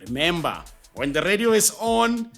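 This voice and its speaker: A man's voice: sits high, very animated, normal speed, gravelly, fairly bright tone, soft background music. A South African man hosting an educational show, reading a scripted explainer in an emphatic presenter's manner with conversational asides. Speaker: host of an educational show on radio broadcasting.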